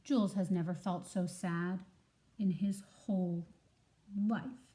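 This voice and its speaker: A woman teacher reading a children's story aloud, enunciating expressively and pausing to prompt listeners with questions. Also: lowish voice, steady tone, mellow.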